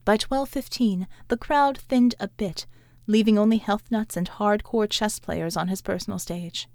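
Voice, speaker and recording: A woman reading literary fiction as an audiobook narrator, in a measured, articulate storytelling delivery. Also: mid-range voice, a little mellow, no echo.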